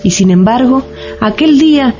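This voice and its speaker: A woman playing the narrator of a dark satirical tale in amateur radio theater. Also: sits high, lively intonation, moderate pace. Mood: grave storytelling. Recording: dull recording with clear music behind.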